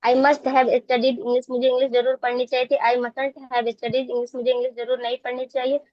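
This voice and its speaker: A woman student slowly reciting grammar drills in an online class. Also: high-pitched, no room sound, dull audio. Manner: slow, flat, careful recitation.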